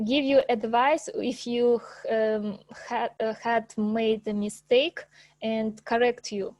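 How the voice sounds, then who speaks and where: A woman whose first language is Russian gives an informal presentation over a video call, pausing often with fillers and restarts. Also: fairly high pitch, a little mellow, no echo. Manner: hesitant, many fillers and restarts, laughs often. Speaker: presenter at an English club.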